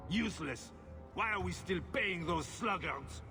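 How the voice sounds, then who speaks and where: An actor playing a bitter, self-pitying middle-aged husband, petulant and scornful. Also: male voice, sits high, lively intonation, a little slow, big-room sound, music in the background.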